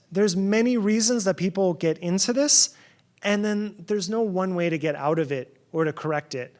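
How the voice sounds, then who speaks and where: A middle-aged man from New Jersey giving a conference keynote in a conversational way, with sarcastic asides and frequent restarts. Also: a little high. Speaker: keynote speaker.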